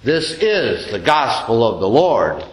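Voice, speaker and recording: A man, a deacon, reading the Gospel as a solemn scripture reading, with measured, deliberate and expressive phrasing. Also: medium pitch. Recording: roomy, echoing church-like sound.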